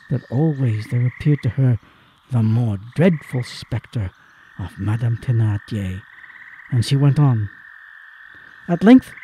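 A man's voice: fairly high pitch, dark tone, slight background noise, poor audio. A man giving a theatrical, literary narration of a dramatised classic story.

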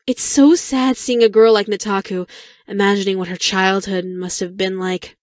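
A woman voicing a secretly menacing high school girl in a video game, speaking with saccharine, insincere concern. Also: fairly even intonation, normal speed, dry recording, studio quality, lightly acted. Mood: fake sympathy. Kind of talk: video game character voice lines.

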